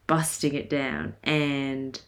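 A woman in her twenties with a hoarse, raspy voice, chatting casually and laughing often as she hosts her podcast. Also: a bass voice, slow. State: hoarse from a night of singing. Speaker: podcast host. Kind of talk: casual solo podcast chat.

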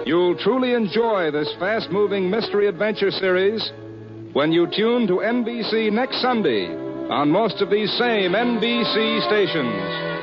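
A man's voice: sits high, lively intonation, measured pace. An American man reading scripted credits and promotions as a 1950s radio announcer. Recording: dull vintage radio broadcast with prominent music.

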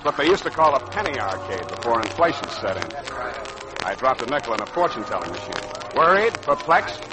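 An actor playing a cynical, tough private detective with clipped, wisecracking delivery in a 1940s radio drama. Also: male voice, a little high, close to an everyday voice. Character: hard-boiled cynical male private detective narrating his case. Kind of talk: 1940s radio detective acting, first-person narration. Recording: noisy old-time radio recording.

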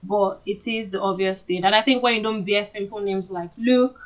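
A woman with a Nigerian accent speaks at a middling pitch in a casual, earnest way. She sounds like a podcast co-host airing her opinions in conversation. The audio is a little dull and lo-fi. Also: normal speed.

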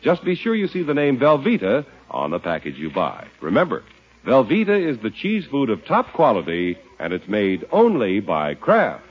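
An American man announcing on 1950s radio, delivering scripted sponsor pitches and story introductions. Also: huge pitch swings, normal speed, slightly rough. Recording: muffled old radio recording with music.

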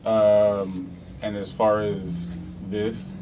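A man who promotes clubs talks in an easy, halting way. His voice sits a bit low and sounds set back from the mic, with a faint hiss underneath. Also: flat delivery, far from the mic, highs cut off. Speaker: club host and promoter. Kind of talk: casual group chat.

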